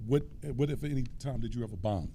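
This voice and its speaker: A man's voice: mid-range voice, animated, fast talker, warm tone, a little hiss or hum. A man co-hosting a casual interview, chatting and backing up the guest with frequent affirmations. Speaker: podcast co-host.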